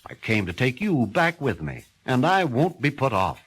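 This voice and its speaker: A man's voice: medium pitch, big pitch swings, moderate pace, fairly bright tone, husky, pitched above the performer's own voice, exaggerated voice. A man voicing an eager young suitor who pleads in an urgent, stammering, insistent way in a spoken horror story. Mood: urgent, pleading.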